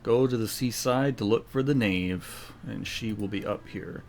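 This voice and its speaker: A man's voice: on the low side, measured pace, a little mellow, a little hiss or hum. A man giving casual, laid-back, joking commentary as a gaming streamer during a playthrough.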